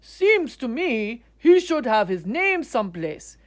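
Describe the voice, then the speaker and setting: Woman playing a kindly, musing, high-pitched young artist. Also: sing-song, slow pace. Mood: kind concern. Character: kindly, curious, exclamatory young male artist.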